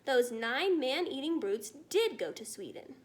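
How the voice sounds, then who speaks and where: A woman's voice: sits high, sing-song, a little slow. A woman voicing an unflappable, commanding queen in a storybook, matter-of-fact and regal. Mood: composed, brisk.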